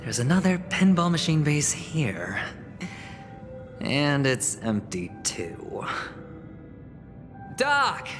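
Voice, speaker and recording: A man's voice: on the high side, sing-song, drawn-out words, long pauses, fairly bright tone, heavily acted. Smug teasing young game schemer, takes his time, swingy delivery, music behind. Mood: musing, playful. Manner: teasing, self-amused. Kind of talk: video game cutscene voice acting.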